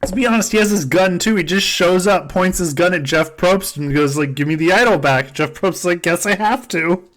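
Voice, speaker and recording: A man's voice: high-pitched, normal speed, fairly bright tone. A man hosting a podcast game in casual, joking chat, swearing freely as he goes. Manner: sweary, jokey. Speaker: podcast host running a fan survivor game.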